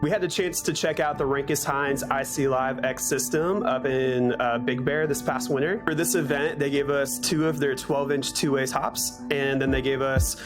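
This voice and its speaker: A man's voice: mid-range voice, steady tone, normal speed, few pauses. A man giving a casual interview testimonial as an audio engineer. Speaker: church audio engineer and content producer. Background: background music.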